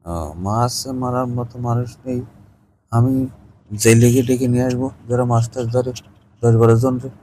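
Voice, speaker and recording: A man's voice: medium pitch, steady tone, measured pace, mellow, slight background noise. A man in a casual, cajoling, colloquial phone chat. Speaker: caller in a staged phone conversation.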